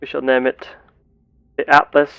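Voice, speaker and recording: A man narrating his gameplay in character as an earnest, curious starship captain, with formal, deliberate wording. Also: mid-range voice, monotone, vocal fry, smooth, lo-fi sound. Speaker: player of a roleplay game series.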